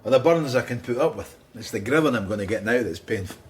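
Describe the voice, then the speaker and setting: An actor as a middle-aged Scottish male detective in a radio drama. He is sardonic and insubordinate, delivering deadpan lines with a widely swinging pitch. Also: fast talker, slight background noise, close to an everyday voice. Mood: wry.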